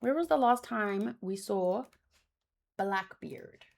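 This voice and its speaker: A woman's voice: mid-range voice, animated, takes it slowly, gravelly. A woman chatting casually as a streamer, thinking aloud in a rambling, exclamatory way. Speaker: manga read-along streamer.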